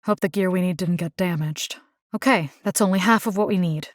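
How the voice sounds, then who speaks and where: Actress as a sassy Puerto Rican action heroine, close dry sound. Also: female voice, fairly low pitch, fairly quick, dry recording, close to an everyday voice. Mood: focused. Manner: sassy, slangy, sprinkles in Spanish words. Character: tough, wisecracking young woman engineer fighting vampires. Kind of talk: video game character voice lines.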